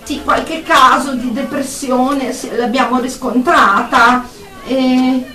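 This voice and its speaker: High-voiced, hesitant woman psychotherapist, played by an actress. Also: steady tone, pushed and bright, heavily acted. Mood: worried, hesitant. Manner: hesitant, halting, apologetic. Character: anxious, deferential woman psychotherapist phoning her supervisor. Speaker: actor in a radio drama. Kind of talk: radio drama monologue, one side of a phone call. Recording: echoey room, distant from the mic.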